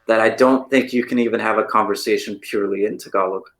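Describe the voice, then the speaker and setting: A man's voice: on the low side, fairly even intonation, quick pace, fairly bright tone. An American man co-hosting a podcast, talking casually and telling stories with plenty of "like" and "right?". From United States. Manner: chatty, storytelling, lots of "like" and "right?". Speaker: podcast co-host. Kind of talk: casual chat. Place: lives in Taiwan.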